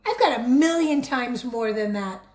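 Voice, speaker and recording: A woman's voice: a little high, lively intonation, unhurried, reverberant. A woman voicing a bossy, boastful, gleeful young boy in a storybook, teasing and self-satisfied. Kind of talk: storybook read-aloud character voice.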